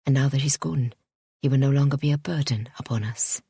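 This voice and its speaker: A woman voicing a pompous, obsessive gentleman suitor in a storybook reading, speaking in a haughty, clipped way. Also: mid-range voice, fairly quick, gravelly, husky, dry recording, clean studio sound, voice pushed down. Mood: justifying.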